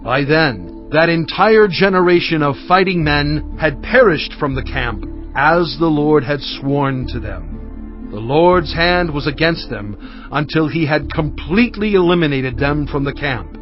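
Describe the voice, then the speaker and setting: A man playing Moses as an aging leader, recounting the journey in a measured, steady storytelling voice. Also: fairly high pitch, lightly acted. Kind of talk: dramatized scripture reading. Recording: dull lo-fi audio with faint music.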